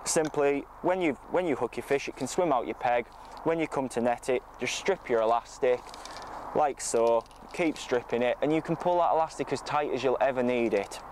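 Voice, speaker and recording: Guy in his 30s doing a practical how-to, medium pitch, bit of outdoor noise behind him. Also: normal speed, darkish tone. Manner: matter-of-fact, explanatory. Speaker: fishing coach presenting a tutorial. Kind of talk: informal instructional talk to camera. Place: outdoors on a lakeside bank.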